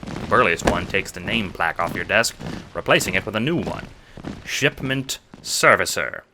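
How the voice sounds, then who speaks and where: Deep forceful noir narrator, man. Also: slight background noise. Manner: dramatic, measured noir storytelling. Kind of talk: second-person noir narration.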